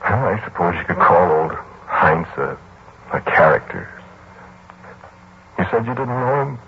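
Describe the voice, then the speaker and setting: A low-pitched, haunted man confides his story in 1940s radio drama style. He is halting and conversational, weary and defensive. The old recording is dulled, with clear hiss. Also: very animated, dull audio, exaggerated voice. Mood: brooding, reflective. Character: weary, defensive male narrator recounting a haunted house.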